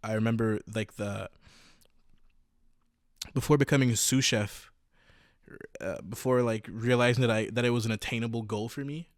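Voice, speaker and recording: A young man in his twenties, a podcast host, telling his own story in a casual, halting way with many restarts and fillers. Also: medium pitch, steady tone, no echo, studio quality.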